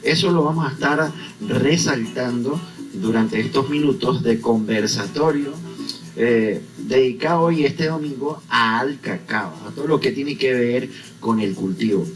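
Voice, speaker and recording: Distant male co-host over clear music. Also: medium pitch, unhurried. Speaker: radio co-host. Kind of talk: radio interview.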